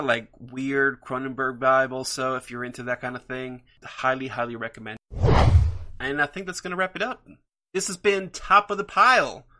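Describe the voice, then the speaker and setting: A man's voice: medium pitch, bright, forceful tone. A man hosting his own podcast, talking enthusiastically and a bit rambly about his picks, with many fillers. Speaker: podcast host. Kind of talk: solo podcast comic book picks.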